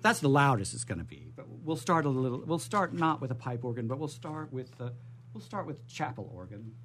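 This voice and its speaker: A man's voice: medium pitch, big pitch swings, fairly quick, fairly bright tone, slight background noise. A man hosting a live radio show, rambling playfully off the cuff with theatrical phrasing.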